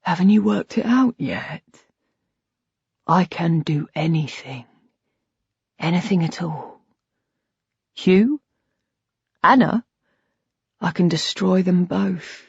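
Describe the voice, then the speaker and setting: A woman reading the lines of a threatening man from the narrator's past, low and sneering. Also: expressive, takes it slowly, vocal fry, long pauses, slightly rough, no room sound, exaggerated voice. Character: menacing man from her past, mocking. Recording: dull lo-fi sound.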